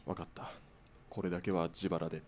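An actor voices a stoic outlaw sniper in a radio drama. His voice is deep and his delivery dry, terse and matter-of-fact. Clear background noise runs under the speech. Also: little pitch movement, fairly quick, darkish tone. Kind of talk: radio drama acting, conversational.

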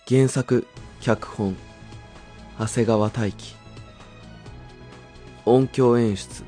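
A man's voice: mid-range voice, mellow. A man reading out the credits of an audio drama as a scripted announcement. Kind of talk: scripted narration.

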